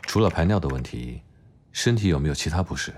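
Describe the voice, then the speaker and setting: A man's voice: a bass voice, animated, fast talker, a little hiss or hum. A man acting in an audio drama as a cool, blunt doctor who speaks in a curt, stern, matter-of-fact way. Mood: cool, clinical. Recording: music behind.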